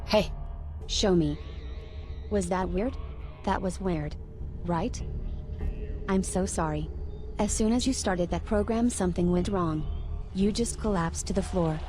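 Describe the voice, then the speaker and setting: A woman playing an emotional, apologetic android companion in a video game, speaking in a halting, casual, confiding way. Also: lowish voice, darkish tone, natural voice. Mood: flustered, apologetic. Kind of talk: scripted video game dialogue. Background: music.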